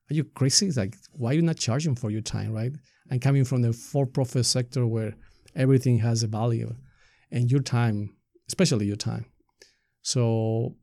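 Gravelly man with an Ecuadorian Spanish accent. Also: medium pitch, mellow, no room sound, clean studio sound. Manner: earnest, explanatory, uses rhetorical questions. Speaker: nonprofit staff member being interviewed. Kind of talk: reflective interview answers.

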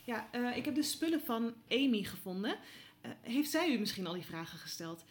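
A woman's voice: on the high side, sing-song, a little fast. An actress playing an eager young woman reporter in an audio drama, hesitant and polite but persistently probing. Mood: pleading, persistent. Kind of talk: naturalistic audio drama dialogue.

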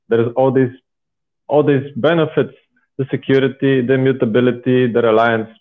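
A man in his twenties with a Bulgarian accent, telling his story enthusiastically and emphatically as a podcast guest. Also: medium pitch. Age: 20s. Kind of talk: casual interview talk, personal storytelling. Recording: phone-like, thin internet call audio.

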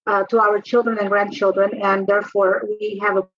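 A woman's voice: medium pitch, level tone, moderate pace, a bit bright, a little hiss or hum. A woman from Angola introduces herself and her social service agency on a panel, speaking politely and formally. Her audio sounds somewhat muffled. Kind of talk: self-introduction.

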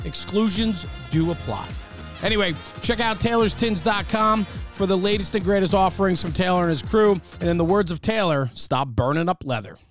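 A man reading a sponsor ad in an upbeat pitchman delivery. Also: moderate pace, faint music behind. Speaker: ad read announcer. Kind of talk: read sponsor ad.

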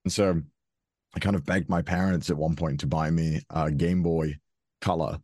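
A man's voice: rumbling low, steady tone, moderate pace, darkish tone, slightly rough, no room sound, clean studio sound. A man, chatting as an interview guest, with plenty of fillers and restarts. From Wales. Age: 20s. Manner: hesitant, many restarts and fillers, laughs often. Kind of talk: casual interview chat.

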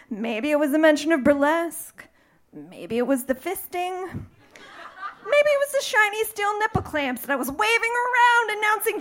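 A woman's voice: extremely high pitch, fairly bright tone. A young woman from the Midwest telling a bawdy, playful personal story on stage in front of a live audience, laughing through her lines. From American Midwest.

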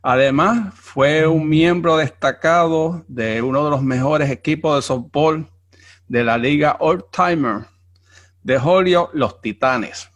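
A man's voice: fairly high pitch, takes it slowly, fairly bright tone. A man hosting a podcast, giving a formal, announcer-like introduction and asking interview questions. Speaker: podcast host.

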